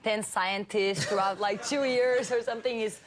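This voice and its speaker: A woman with a Brazilian Portuguese accent and Portuguese as her first language, a talk show guest telling stories in a giggly, animated way. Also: mid-range voice, normal speed. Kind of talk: casual chat.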